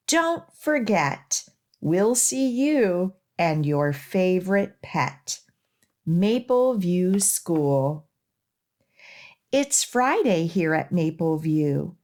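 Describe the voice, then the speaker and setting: A woman children's librarian reading a rhyming picture book aloud for young children, with expressive, measured emphasis on the rhymes. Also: medium pitch. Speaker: children's librarian and storytime host.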